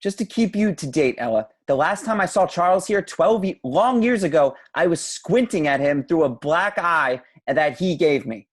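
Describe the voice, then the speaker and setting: A man's voice: fairly high pitch, expressive, normal speed, few pauses. A man playing an awkward, resentful grown son in an amateur script read. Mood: bitter.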